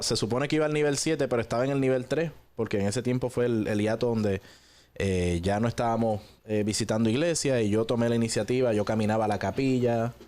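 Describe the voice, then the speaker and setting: A man from Puerto Rico with a Puerto Rican accent, telling his story in a relaxed, conversational way with frequent hesitant pauses. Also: lowish voice. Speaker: guest giving a personal testimony.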